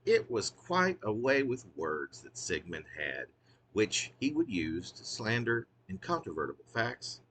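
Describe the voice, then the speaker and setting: A man's voice: lowish voice, very animated, unhurried, a bit bright, a little hiss or hum, poor audio. A man who is a poet reading his own wry, literary work in a theatrical, emphatic way, drawing out phrases.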